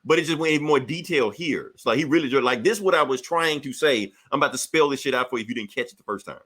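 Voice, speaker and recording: A man co-hosting a podcast, chatting casually and taking comments in slangy, profane, animated talk. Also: medium pitch, fast talker.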